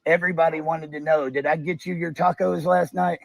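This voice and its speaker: A man in a played clip gently coaxing and teasing someone with soft questions. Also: fairly high pitch, steady tone, brisk, vocal fry, dry recording. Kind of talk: coaxing talk to someone nearby.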